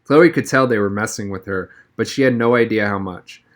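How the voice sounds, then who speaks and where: A man's voice: on the low side, brisk. Man reading a storybook aloud. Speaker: reader of a children's novel. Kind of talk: storybook read-aloud narration.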